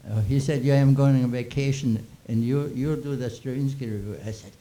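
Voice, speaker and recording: A man in his nineties, born in Austria with German as his first language, telling old stories in a halting, chuckling way with many restarts. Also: mid-range voice, steady tone, mellow, poor audio. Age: 90s. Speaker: interviewee. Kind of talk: reminiscing Q&A.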